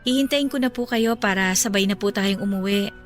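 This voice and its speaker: An actress playing a caring young-adult daughter in a radio drama, talking softly and respectfully to console her father. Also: mid-range voice, a little fast, soft background music, natural voice. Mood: gentle.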